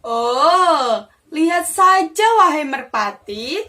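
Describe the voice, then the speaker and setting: A vain storybook deer voiced by a woman, proud and showy. The voice is high and bright, boasting in a forest fable. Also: lively intonation, slow, heavily acted. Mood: boastful.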